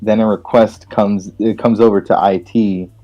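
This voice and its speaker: A man's voice: on the low side, fairly even intonation, normal speed, lo-fi sound. A man reading game text aloud and reacting casually, playful and stumbling over lines.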